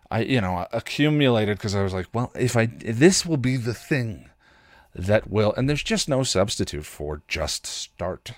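Man, podcast host, very lively intonation, self-deprecating, casual monologue, close dry recording. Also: medium pitch, dry recording. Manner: animated, self-deprecating, rambling; acts out his own inner dialogue.

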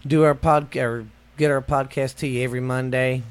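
A man's voice: medium pitch, little pitch movement, a little fast, clean tone. A man with a Texan accent co-hosting a podcast, chatting casually in a rambling, folksy way and repeating himself. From Texas. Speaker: podcast co-host. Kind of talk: casual chat.

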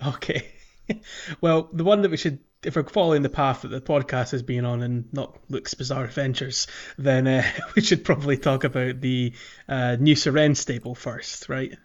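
A man's voice: mid-range voice, steady tone, normal speed. A man hosting a casual podcast chat, chatty and laughing often between fillers. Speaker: podcast host. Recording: dull lo-fi podcast audio.

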